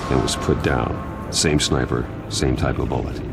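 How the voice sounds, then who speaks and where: An actor playing a grim, menacing masked vigilante in a video game, with a gravelly growl and terse, controlled delivery. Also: male voice, rumbling low, fairly even intonation, moderate pace. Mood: grim, analytical. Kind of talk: dramatic video game acting. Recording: loud music under the voice.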